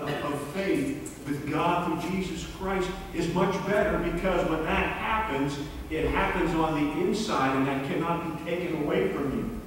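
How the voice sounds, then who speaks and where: A middle-aged male pastor delivers an earnest, measured sermon at a slow, deliberate pace. His voice sits a little high for a man. It is caught from far back in a large sanctuary, with a long, ringing reverb. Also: slight background noise. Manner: earnest, measured preaching with repeated words. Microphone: far camera in the pews.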